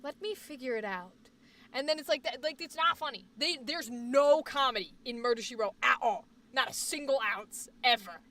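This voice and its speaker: A woman's voice: sits high, normal speed, sharp tone, far from the mic, a little hiss or hum. A woman hosting a casual livestream chat, talking in a rambling, emphatic, opinionated way, with a slight Boston accent. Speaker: livestream host.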